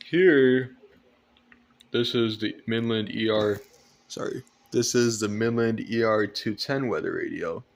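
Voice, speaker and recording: Young male collector, lowish voice, casual show-and-tell delivery, rambling and repeating himself as he goes. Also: unhurried, vocal fry. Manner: hesitant, rambling with repeated phrases. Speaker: collector presenting weather radios. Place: indoors at home at night.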